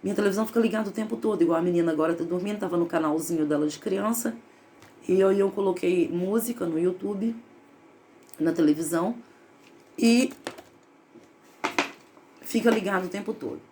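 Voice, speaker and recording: Gravelly, emphatic woman. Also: on the low side, brisk, pauses often, a little mellow, slight background noise. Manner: emphatic, opinionated, rambling. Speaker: spiritualist vlogger. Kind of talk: casual spiritual monologue.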